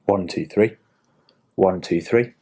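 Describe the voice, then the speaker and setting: A man, a patient, slowly and quietly repeating numbers on request. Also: sits low, slight background noise. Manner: quiet, slow, compliant counting.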